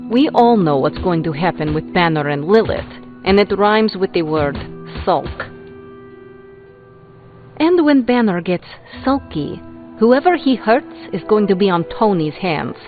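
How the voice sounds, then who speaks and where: A woman's voice: mid-range voice, expressive, a little slow, close to an everyday voice. An actress playing a blunt, snide female superhero ally in a video game. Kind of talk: video game character dialogue. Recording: muffled lo-fi audio, music behind.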